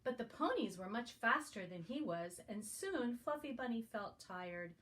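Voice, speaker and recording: A woman's voice: on the high side, lively intonation, unhurried, a bit bright, a little hiss or hum. A woman reading a picture book aloud to young children as a guest reader. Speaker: guest story reader for preschoolers.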